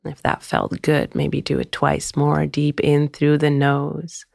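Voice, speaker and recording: A woman guiding a meditation in a soothing, gentle, unhurried way, often trailing into a breathy near-whisper. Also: low-pitched, flat delivery, dry recording, clean studio sound. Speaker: meditation guide. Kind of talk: guided meditation.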